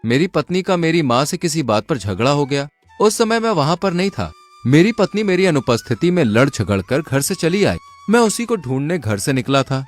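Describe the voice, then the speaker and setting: A man's voice: on the high side, sing-song, moderate pace, faint music behind. A man voicing a clever young traveler in a Hindi folk tale, polite and confident. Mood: sly, assured.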